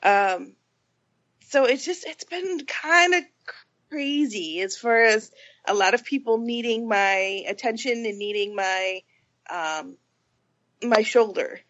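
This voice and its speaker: This woman sounds congested from a cold, her pitch a touch high. She chats casually on a podcast, light and self-mocking. The recording is a little dull and lo-fi. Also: takes it slowly, a bit bright. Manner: chatty, laughing, self-deprecating. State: stuffy from a cold. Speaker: podcast co-host. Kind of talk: casual chat.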